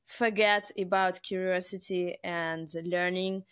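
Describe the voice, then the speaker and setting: A woman's voice: lowish voice, fairly even intonation, slow, a bit bright, clean tone, no echo, clean studio sound. A woman interviewer whose first language is not English, chatting agreeably and asking questions, with lots of "like" as filler. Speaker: interviewer, host. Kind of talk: conversational interview.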